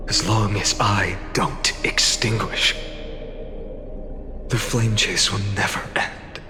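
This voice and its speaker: A man voicing a resolute, self-sacrificing young warrior in a game cutscene, solemn and measured. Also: lowish voice, fairly even intonation. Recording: reverberant with music behind.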